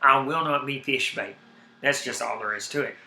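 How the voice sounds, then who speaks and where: A man with a heavy Southern accent chatting casually on his live stream, rambling and animated, repeating himself when worked up. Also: mid-range voice, normal speed, gravelly, roomy sound, a little hiss or hum. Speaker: YouTube streamer.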